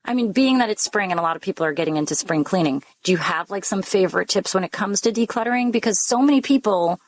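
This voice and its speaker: A woman's voice: mid-range voice, animated, fast. A woman co-hosting a home improvement radio show, chatty and upbeat as she interviews guests and reads promos.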